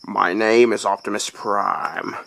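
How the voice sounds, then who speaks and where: Guy doing a deep solemn robot leader voice, slow and grave, sick and rough. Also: steady tone, fairly bright tone, full character voice. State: voice deepened from illness.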